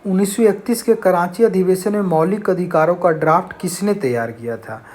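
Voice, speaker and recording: A man's voice: sits high, a little fast, a little mellow. A man teaching an exam-prep lesson, reading out questions and explaining answers in a didactic, repetitive way. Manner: didactic, repeats key phrases.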